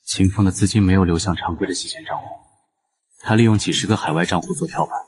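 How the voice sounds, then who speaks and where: A man's voice: low-pitched, animated, quick pace. A man playing a brainy, grave investigator in a drama, explaining things with serious urgency. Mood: grave, urgent. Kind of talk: dramatic Chinese audio-drama acting.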